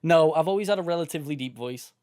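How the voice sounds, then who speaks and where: A man's voice: fairly high pitch, quick pace, a little mellow, smooth, dry recording, studio quality. A man co-hosting a casual podcast, laid-back and chuckling as he rambles nostalgically. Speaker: podcast co-host.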